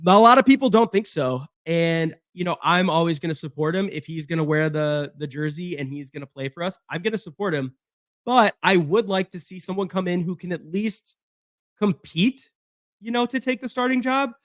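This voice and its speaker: Hoarse guy kinda high voice rambling casually about his team's roster, dry close sound. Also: moderate pace, fairly bright tone, no echo. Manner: chatty, rambling with fillers and restarts. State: hoarse from being sick. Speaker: sports podcast host. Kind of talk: casual solo sports breakdown.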